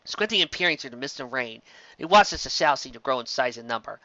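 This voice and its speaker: Bright, forceful storyteller, dry recording. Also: medium pitch, lively intonation, fast, dry recording, dull audio. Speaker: storyteller reading fan fiction aloud. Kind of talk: dramatic scripted story narration.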